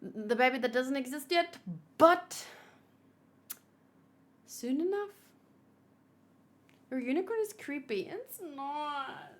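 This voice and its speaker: Casting: female livestream host, high voice, casual and exclamatory, chats off the cuff with viewers. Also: slow, long pauses. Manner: animated, exclamatory, thinking aloud. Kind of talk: casual livestream chat, reading and answering viewers.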